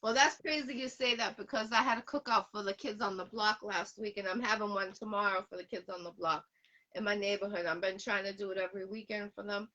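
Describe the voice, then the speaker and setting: 40s woman host, chatty n animated, kinda muffled lo-fi audio. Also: medium pitch, fast. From Boston. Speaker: show host. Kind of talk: casual interview chat.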